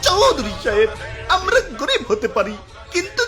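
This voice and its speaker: Very high-voiced humble cartoon lemon father. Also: wildly swooping pitch, normal speed, over loud music, heavily acted. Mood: humble, earnest. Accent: Bangladeshi. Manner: earnest, melodramatic. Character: poor, proud, humble lemon father with wounded dignity. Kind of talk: comic cartoon acting.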